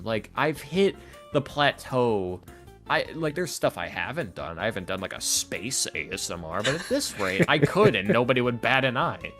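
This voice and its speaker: Guy with a fairly low voice rambling on a podcast, sardonic, bit of guitar music behind him. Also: fairly bright tone. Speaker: interview guest, ASMR roleplay creator. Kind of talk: casual podcast chat. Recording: music playing behind the talk. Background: background music with guitar.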